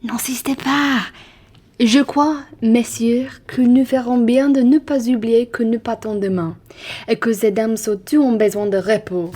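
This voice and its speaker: A woman's voice: on the high side, fairly even intonation, a little slow, warm tone. A woman playing a courteous, condescending upper-class traveller in an amateur radio play, formal and persuasive. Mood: soothing.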